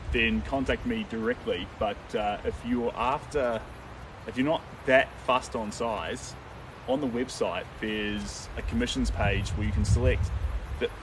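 Young man painting outdoors, mic far off. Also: mid-range voice. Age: young adult. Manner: relaxed, chatty, with many ums while painting. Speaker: painter livestreaming his work. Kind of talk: casual livestream chat answering viewer comments. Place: outdoors on a lawn under open sky. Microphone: camera standing a few meters away. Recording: distant mic, loud outdoor wind and leaf noise.

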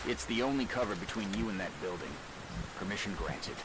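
A low calm commander barking orders, steady and clipped, lots of hiss. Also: male voice, fairly quick. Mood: commanding. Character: calm male military commander on radio. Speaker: mission commander.

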